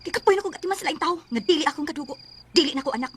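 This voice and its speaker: A woman's voice: sits high, rapid-fire, slight background noise, natural voice. A woman acting in a Filipino Bisaya radio drama as a weary, resentful wife who scornfully complains. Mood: exasperated, scornful. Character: weary, bitter, resentful wife rejecting her stepson.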